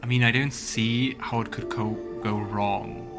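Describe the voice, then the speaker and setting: A man's voice: mid-range voice, fairly even intonation, a little slow, gravelly, over music. A man hosting a let's play, musing aloud in casual game commentary.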